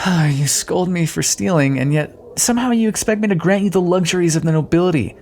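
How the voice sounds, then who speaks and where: A man's voice: high voice, a little fast, darkish tone, slight background noise. A man playing a roguish, sardonic but decent thief in an audio roleplay, talking directly and teasingly to the listener. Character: roguish male thief escorting a deposed queen, wry but decent.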